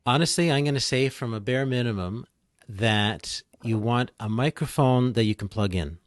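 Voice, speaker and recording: A man's voice: medium pitch, normal speed, no room sound, studio quality. A man speaking as a podcast guest expert, explaining things in a casual, plain-spoken and practical way.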